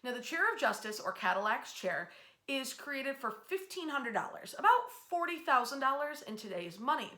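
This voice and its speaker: A woman with a fairly high, very bright voice hosts a history storytelling series. She speaks in a chatty, emphatic way, stretching key words and pausing for dramatic effect as she addresses her online audience. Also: very animated.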